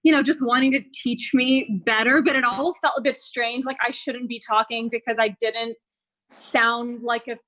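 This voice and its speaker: Young woman, panel guest, somewhat high voice, candid and chatty. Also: fairly even intonation, moderate pace, fairly bright tone, low-quality recording. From Dubai. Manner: candid, with lots of "like". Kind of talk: casual reflective chat.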